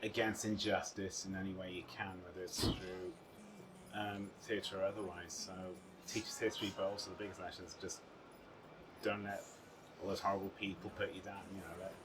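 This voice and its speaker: A man's voice: low voice, flat delivery, normal speed, background noise. A man in his mid-thirties giving earnest, emphatic, unscripted interview answers outdoors. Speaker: actor. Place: outdoors on a sea front. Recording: distant mic, music behind.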